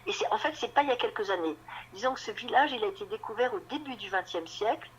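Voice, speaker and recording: Halting woman novelist in an interview. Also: on the high side, animated, slight background noise, poor audio. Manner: halting, repeats words while searching for them. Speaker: novelist guest. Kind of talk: radio interview conversation.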